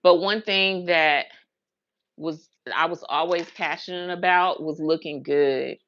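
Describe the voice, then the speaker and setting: Low, gravelly American woman, chatting casually. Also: steady tone, measured pace, fairly bright tone, dull audio. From Huntsville, Alabama and Brooklyn, New York, USA. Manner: chatty, colloquial, emphatic repetition. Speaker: livestream host, hairstylist. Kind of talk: casual livestream chat, rambling personal storytelling.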